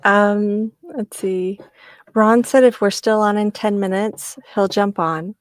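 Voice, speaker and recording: A woman co-hosting a live stream, chatting casually in an earnest, measured way. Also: medium pitch, fairly even intonation, no room sound. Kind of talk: casual chat. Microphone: video call.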